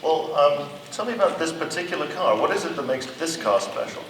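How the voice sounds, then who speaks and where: A man's voice: on the high side, lively intonation, brisk, a bit bright. A man presents and asks plain, wry questions in a live on-stage interview. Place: large convention hall stage. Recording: echoey hall, distant, noisy background.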